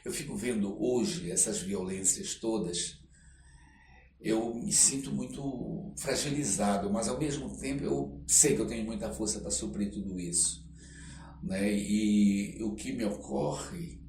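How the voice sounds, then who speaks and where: An older man with a Brazilian accent tells stories conversationally as an interview guest, in an expressive, reflective way, often checking in with tag questions. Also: on the low side, a little slow, vocal fry. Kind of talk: conversational storytelling. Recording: roomy, distant mic, faint noise.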